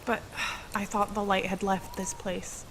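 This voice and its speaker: Woman acting as wistful unsure lady in a radio play, slow and creaky, noisy ambience behind her. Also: mid-range voice, flat delivery, fairly bright tone. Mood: wistful, doubtful. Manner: slow, hesitant, sighing. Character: hesitant, wistful woman questioning an old land.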